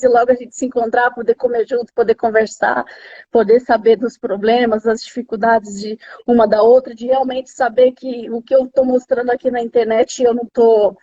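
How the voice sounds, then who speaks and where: A woman's voice: on the high side, dark tone, slightly rough. A Brazilian woman with a São Paulo accent hosts a casual live chat, interviewing a friend. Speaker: host of a live interview series. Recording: slightly dull lo-fi live-stream audio.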